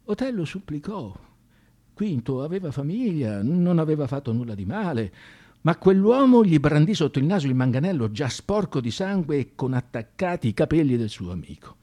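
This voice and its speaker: A male narrator tells a radio-theatre story. His tone is dark and mellow, and his delivery is theatrical and expressive, with pitch that moves widely as he goes. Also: normal speed.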